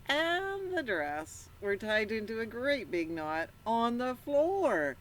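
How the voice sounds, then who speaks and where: A woman reads a storybook to young children at an unhurried pace, her pitch rising and falling in a lively way. She breaks off often to ask the listeners questions. There is some noise behind her voice. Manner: interactive, prompts listeners with questions. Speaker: storyteller reading a picture book aloud to children. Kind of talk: animated read-aloud with asides to young listeners.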